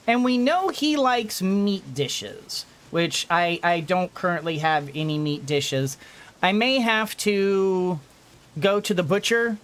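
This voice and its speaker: A man's voice: high-pitched, a bit bright. A man giving casual let's-play commentary, rambling along and thinking aloud as he goes. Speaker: gaming video host.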